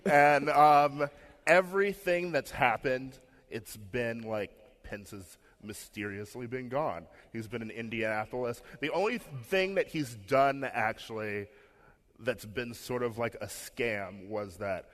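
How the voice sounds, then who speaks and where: A man's voice: medium pitch, slow, fairly bright tone. An American man giving casual, conversational commentary as a podcast panelist.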